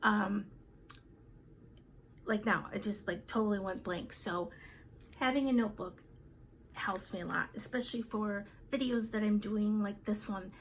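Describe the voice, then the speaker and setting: A woman in her fifties in a casual vlog, talking to her viewers in a chatty way with many fillers and pauses. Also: medium pitch, slight background noise. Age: 50s. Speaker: vlogger. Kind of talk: casual chat to camera.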